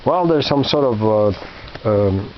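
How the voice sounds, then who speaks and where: A man in his fifties with a slightly low voice talks through his flea market finds in a halting, rambling way, full of fillers and restarts. The recording sounds a little muffled, with a faint hiss underneath. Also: expressive. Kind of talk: casual rambling show-and-tell.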